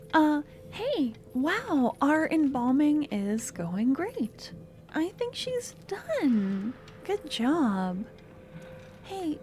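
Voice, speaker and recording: Woman acting a slow, soft, sweetly obsessive young woman. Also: high-pitched, exaggerated voice. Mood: coy, flattering. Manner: soft, coaxing, slightly awkward and hesitant. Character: sweet, obsessive young female stalker, an embalming student. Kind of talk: ASMR audio roleplay, addressing the listener.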